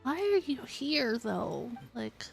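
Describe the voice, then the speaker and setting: A woman's voice: a little high, sing-song, slow, gravelly. A woman streaming a video game, chatting casually and reacting in short remarks. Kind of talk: casual live reaction chat. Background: game music.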